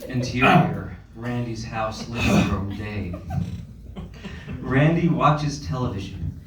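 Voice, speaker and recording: A man's voice: medium pitch, takes it slowly, crowd noise. A man narrating stage directions from a script at a live comedy table read. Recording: echoey room, distant mic, noisy.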